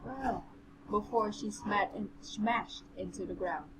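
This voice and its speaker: A woman co-hosting a reading podcast, speaking casually and a little haltingly as she chats and reads aloud. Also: medium pitch. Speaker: podcast co-host and reader. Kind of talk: casual chat and amateur read-aloud. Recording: clearly noisy home recording.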